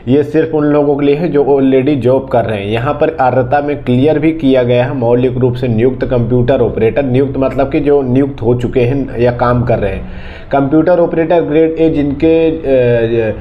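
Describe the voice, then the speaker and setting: A man's voice: mid-range voice, dull audio. A man presenting an exam news update to viewers in an informal, conversational, explanatory way.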